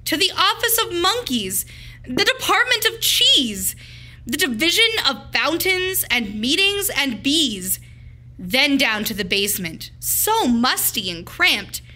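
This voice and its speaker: A woman teacher reading a children's storybook aloud with dramatic, deliberate, expressive phrasing. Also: high voice.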